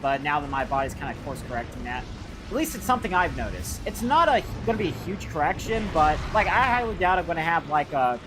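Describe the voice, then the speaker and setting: Young adult male, high youthful voice, animated intonation, casual gaming stream commentary, rambling, informal, sweary. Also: fast talker, over music. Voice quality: youthful-sounding voice. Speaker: livestreamer playing a video game.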